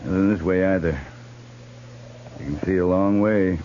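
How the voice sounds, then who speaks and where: A man acting as an earnest cavalry captain in an old radio western. He speaks with steady, plain authority in a fairly low voice. The recording is dull, with faint noise behind it. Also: natural voice. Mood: worried. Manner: measured, firm, plain-spoken authority. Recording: muffled, noisy 1950s radio recording.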